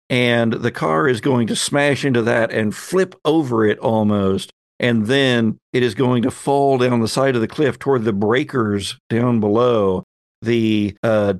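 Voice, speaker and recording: A man runs a tabletop role-playing game. He narrates off the cuff in descriptive detail, in a mid-pitched voice. The sound is close and dry. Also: dry recording. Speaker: game master, host.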